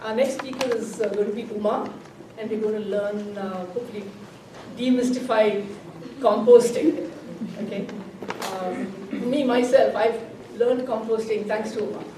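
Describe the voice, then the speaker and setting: A woman's voice: on the high side, steady tone, moderate pace. A woman hosting a community event for an environmental group, introducing speakers with many fillers in a library room. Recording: very echoey room, far from the mic, noisy.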